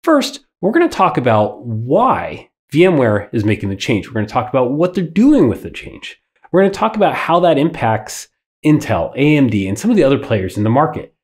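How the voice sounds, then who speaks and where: A man's voice: mid-range voice, sing-song, moderate pace. Nasal man with a head cold. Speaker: tech channel host. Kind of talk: scripted explainer to camera. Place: small indoor studio with wood backdrop.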